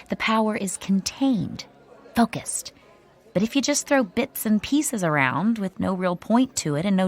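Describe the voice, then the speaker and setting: A woman playing Diane, a chatty theater crew member who explains magic lore with relish. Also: mid-range voice. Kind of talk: radio drama acting.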